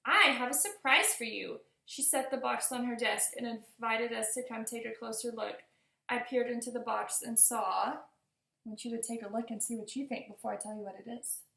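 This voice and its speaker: A woman's voice: on the high side, flat delivery, brisk, gravelly. A woman reading a storybook aloud expressively for young children, in the manner of a teacher addressing listeners. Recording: roomy echo.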